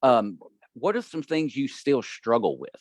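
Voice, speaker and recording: A man's voice: medium pitch, big pitch swings, a little slow, a little mellow, no room sound. A man hosting a casual podcast interview, asking loose, filler-heavy questions. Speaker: podcast host.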